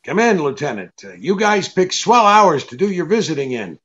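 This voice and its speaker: An actor playing a wary, hard-boiled private detective, curt and sardonic, in 1940s radio drama style. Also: male voice, on the high side, sing-song, moderate pace, fairly bright tone, lo-fi sound. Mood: irritated, defiant.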